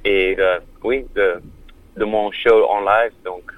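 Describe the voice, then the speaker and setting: A man, a musician guest on a radio interview, speaking haltingly, with many fillers and restarts. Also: on the low side, fairly even intonation, dry recording, narrow, tinny audio. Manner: non-native French.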